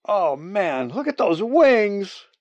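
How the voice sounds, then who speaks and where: A male narrator plays a crude, excitable hunter from a storybook. The voice is pitched high and strained, given to jeering and cackling, with a gravelly edge in a dry recording. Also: normal speed, no echo, exaggerated voice. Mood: excited. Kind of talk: storybook character voice.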